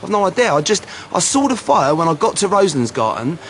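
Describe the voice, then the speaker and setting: A man's voice: high-pitched, sing-song, brisk, fairly bright tone. A man playing a dim, timid patrol policeman in a radio drama, hesitant, defensive and plaintive. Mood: flustered, defensive. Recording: faint background noise.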